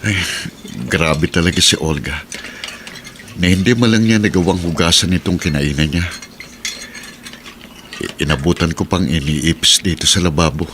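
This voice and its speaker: A man's voice: sits low, moderate pace, long pauses, a bit bright. An actor playing a weary, nagging husband and devoted father in a Filipino radio drama, grumbling with a Filipino accent. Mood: exasperated. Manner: grumbling, reproachful. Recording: noisy radio drama recording.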